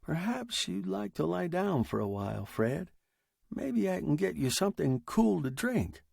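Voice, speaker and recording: A man's voice: high voice, sing-song, measured pace, vocal fry, a little mellow, husky, no room sound, clean studio sound, voice pushed up, heavily acted. A man acting a politely concerned, uneasy male neighbour in a story, with a hesitant manner.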